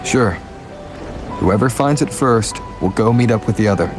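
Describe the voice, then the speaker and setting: An actor as a serious, low-voiced young adventurer. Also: male voice, moderate pace, music in the background, lightly acted. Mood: calm. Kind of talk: video game voice acting.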